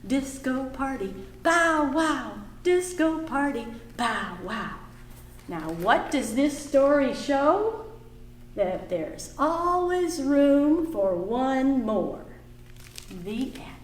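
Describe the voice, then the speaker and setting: A woman reading a picture book aloud to small children at a library storytime, singing the refrains. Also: sits high. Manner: slow, singsong, animated for small children. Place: library room. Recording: echoey room, faint background noise.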